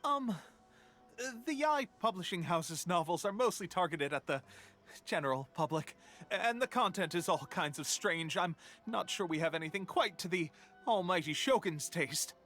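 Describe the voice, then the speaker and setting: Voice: medium pitch, lively intonation, normal speed, a bit bright, faint music behind, close to an everyday voice. A voice actor playing a flustered young male editor who stammers anxiously. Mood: nervous.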